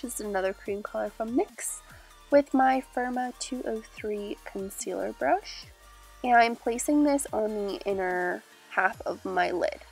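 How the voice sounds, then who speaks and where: A woman's voice: fairly high pitch, creaky, a bit bright. A young woman with a stuffy, nasal voice from a cold, casually talking through a makeup tutorial step by step. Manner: chatty, upbeat, describing each step. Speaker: beauty vlogger. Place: small indoor room with glitter backdrop.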